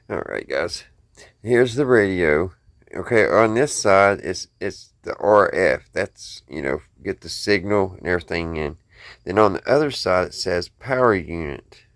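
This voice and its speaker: A man's voice: deep voice, no room sound, clean studio sound. An American man talking casually to viewers in a folksy, rambling show-and-tell, thinking aloud as he goes. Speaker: hobbyist video host.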